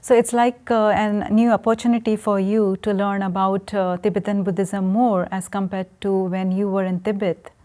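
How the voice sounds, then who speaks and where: A woman interviewing a monk, asking questions and murmuring softly in agreement. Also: medium pitch, little pitch movement, normal speed, hardly any pauses. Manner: soft frequent encouraging murmurs.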